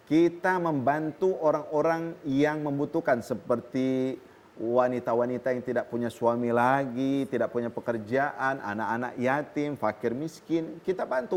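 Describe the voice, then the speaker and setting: A man with an Indonesian accent, a preacher answering a viewer's question on a TV program, explaining calmly and quoting Arabic scripture. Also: fairly high pitch. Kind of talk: religious talk on a television program. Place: television studio.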